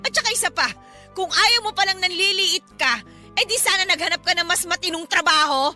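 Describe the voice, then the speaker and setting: A defiant Filipino wife with a very high, piercing voice. She scolds with heated, emphatic radio-melodrama emotion, over a music bed. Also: a little fast, bright, forceful tone, full character voice. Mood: angry, reproachful. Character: fed-up, defiant wife and mother berating drunken husband.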